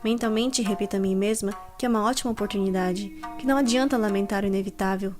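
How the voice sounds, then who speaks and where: Troubled samurai daughter voiced by a woman, Brazilian accent, medium pitch, introspective, formal drama narration, music behind. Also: quick pace, natural voice. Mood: composed, troubled. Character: dutiful, troubled daughter and heiress of samurai clan. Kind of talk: first-person audio drama narration.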